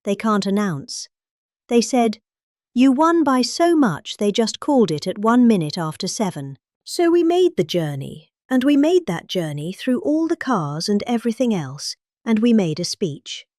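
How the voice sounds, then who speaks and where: Middle-aged British female reader for a political speech, highly animated pitch, dry close sound. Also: unhurried, dry recording. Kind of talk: dramatic reading of a political speech.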